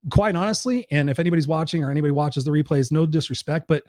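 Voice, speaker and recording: A man with a hoarse voice, hosting a live-stream show as a life coach, talking in an energetic, profane, rambling way. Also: fairly high pitch, racing, dry recording, clean studio sound. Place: home.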